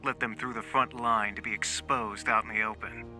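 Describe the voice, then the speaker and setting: An actor playing a composed, calculating colonel in a video game, speaking in a formal, dry, faintly superior way. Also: male voice, on the low side, fairly even intonation, normal speed, bright, forceful tone, faint music behind. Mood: smug, composed. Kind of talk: scripted video game acting.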